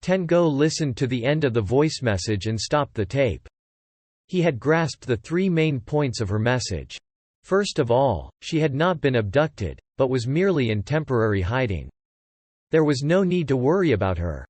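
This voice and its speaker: A man's voice: medium pitch, sing-song, normal speed, darkish tone, husky, dry recording. A man narrating a scripted novel as an audiobook storyteller.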